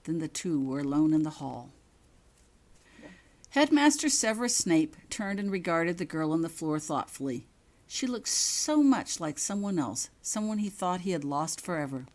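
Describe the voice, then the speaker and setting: A woman reading her own fiction aloud as expressive audiobook-style narration. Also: sits low, normal speed. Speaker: author reading own story.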